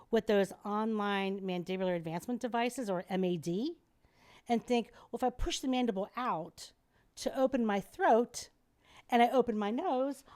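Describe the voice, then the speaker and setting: A middle-aged woman, a guest airway specialist, explaining and demonstrating in a lively, teacherly way during a podcast interview. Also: fairly high pitch.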